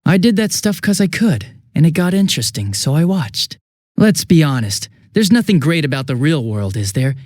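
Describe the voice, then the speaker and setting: An American man playing a cynical, bitter young villain in a video game, with a husky voice and a flippant, contemptuous manner. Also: fairly high pitch, very animated, normal speed, darkish tone, no echo, clean studio sound. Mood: bitter contempt. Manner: flippant, mocking, contemptuous drawl. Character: cynical, bitter, sneering young male villain turning unhinged.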